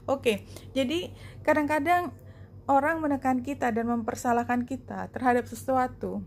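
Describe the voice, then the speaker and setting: A woman giving a spoken card reading to viewers, explaining reflectively in short phrases with pauses. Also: high-pitched, a little mellow, slight background noise. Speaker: tarot and oracle card reader.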